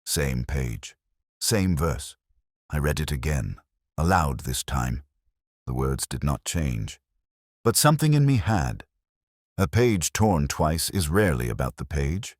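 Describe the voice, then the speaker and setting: Very deep-voiced man narrating a mystery. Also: big pitch swings, dry recording, clean studio sound. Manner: measured, reflective, literary delivery. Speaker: narrator of a detective story. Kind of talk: scripted first-person mystery narration.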